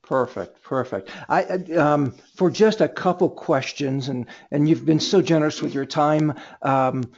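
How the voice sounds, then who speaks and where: A man hosting a sales conference call informally, halting and repeating words as he moderates and coaches. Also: on the high side, gravelly, darkish tone. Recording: dull, lo-fi conference call line.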